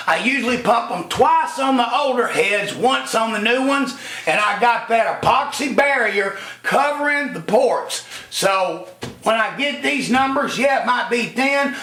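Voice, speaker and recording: A man's voice: very high-pitched, sharp tone. A man losing his voice, walking viewers through a cylinder head job in a folksy, explanatory workshop demonstration. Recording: echoey workshop.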